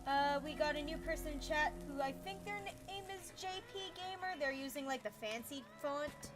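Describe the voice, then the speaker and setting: A woman streaming a game, chatting casually with an energetic, naturally loud manner. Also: high voice, normal speed, loud music behind the voice. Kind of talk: casual gaming stream chat. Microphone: desk mic, peaking.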